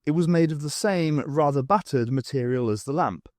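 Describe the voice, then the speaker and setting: A man narrating a comic fantasy audiobook in a wry, theatrical way with deliberate pauses. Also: fairly high pitch, darkish tone, dry recording, studio quality.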